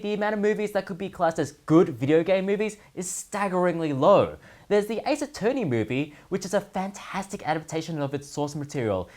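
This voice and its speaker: Animated, sardonic man hosting a comedy segment. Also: high-pitched. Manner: sardonic, mock-serious punchline delivery. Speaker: host of a gaming news segment. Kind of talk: scripted comedic commentary monologue.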